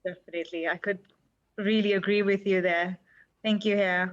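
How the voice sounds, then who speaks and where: A woman's voice: mid-range voice, steady tone, normal speed. Young woman, webinar moderator, encouraging, muffled video-call sound. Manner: encouraging, asks follow-up questions. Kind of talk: moderating an online panel.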